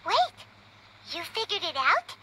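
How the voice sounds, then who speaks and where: A woman voicing a tiny, excitable, childlike fairy companion in a video game, squeaky, exclamatory and full of questions. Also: unhurried, background noise. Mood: eager, curious. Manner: exclamatory, indignant, inquisitive. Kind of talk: cartoonish video game acting. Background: loud game music.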